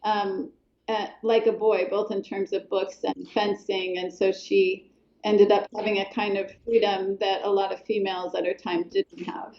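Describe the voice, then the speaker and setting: A middle-aged woman from Colorado, speaking as an author guest in a thoughtful, hesitant way, with many pauses and restarts. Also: medium pitch, level tone, roomy sound, muffled recording. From Colorado, USA. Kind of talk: reflective interview talk.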